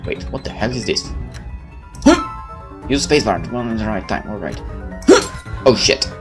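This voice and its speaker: Low-voiced man reading over game music. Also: lively intonation, moderate pace. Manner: stumbles over read text, exasperated swearing asides. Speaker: gaming video host. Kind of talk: let's play, reading visual novel text aloud with reactions.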